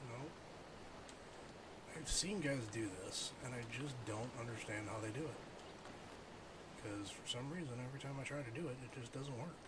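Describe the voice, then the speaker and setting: A man's voice: medium pitch, normal speed, pauses often, background noise. A middle-aged man livestreaming a game, thinking aloud and chatting casually with viewers. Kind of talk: casual live game stream chat.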